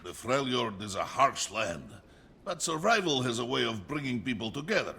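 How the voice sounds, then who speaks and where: An actor playing a big, warm-hearted warrior from a frozen land in a video game, with a husky, gravelly voice and a hearty storytelling manner. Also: male voice, mid-range voice, very animated, moderate pace, exaggerated voice. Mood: jovial. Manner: hearty storyteller, speaks of himself in third person. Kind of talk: dramatic video game acting.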